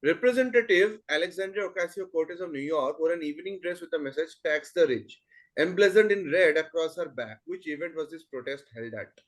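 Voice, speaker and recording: A man's voice: fairly high pitch, a little fast, rough recording. A man with an Indian English accent hosting an online quiz, reading questions steadily and chatting between answers. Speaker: quizmaster.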